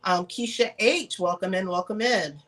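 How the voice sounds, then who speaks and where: A woman's voice: medium pitch, moderate pace, a bit bright. A woman hosting a casual livestream chat who sounds congested and nasal from a cold, greeting viewers with frequent "um"s. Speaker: host and travel advisor.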